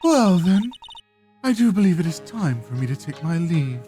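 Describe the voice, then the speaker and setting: A woman voicing a proud, severe village matriarch in a video game, speaking in a formal, theatrical, deliberate way. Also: on the low side, sing-song, mellow, faint music behind. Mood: dramatic, haughty. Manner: haughty, dramatic, deliberate. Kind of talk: amateur video game voice-over.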